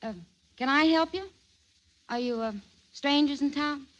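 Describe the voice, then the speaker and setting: An actress playing a wary, hesitant farmwife who frightens easily, in an old-time radio drama. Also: female voice, unhurried, a bit bright, muffled recording. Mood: wary, polite. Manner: hesitant, highly strung.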